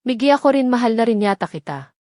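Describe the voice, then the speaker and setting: A woman's voice: fairly high pitch, lively intonation, fast, darkish tone, no room sound, clean studio sound, close to an everyday voice. A woman voicing a sweet, trusting young maiden in an audio drama, with a Filipino accent. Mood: tender.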